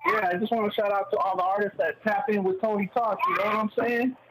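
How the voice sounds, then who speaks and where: A man's voice: sits high, level tone, quick pace. A man phoning a radio show as a guest, talking in casual slang. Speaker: call-in guest, music artist. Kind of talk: casual, slangy phone chat. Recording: very noisy phone call with clicks.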